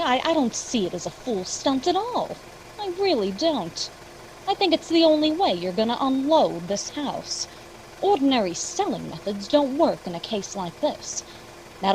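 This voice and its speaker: A breezy property agent from a radio play, folksy and salesy. Her pitch is on the high side and moves in a lively way as she reassures and persuades. A faint idling engine runs behind her. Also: slight background noise, poor audio. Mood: persuasive. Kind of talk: old-time radio acting.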